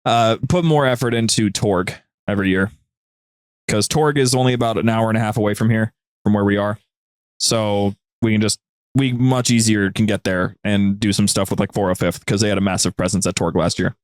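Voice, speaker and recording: A man's voice: fairly low pitch, steady tone, fairly quick, no echo. A man chatting casually as a podcast guest, enthusiastic and slangy in how he talks. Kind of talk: casual chat.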